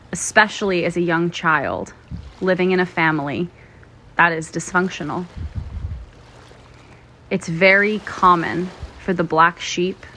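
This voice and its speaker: A woman narrating a guided meditation in a gentle, soothing, measured way, pausing often between phrases. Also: lowish voice, slight background noise. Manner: gentle, soothing, measured delivery with frequent pauses.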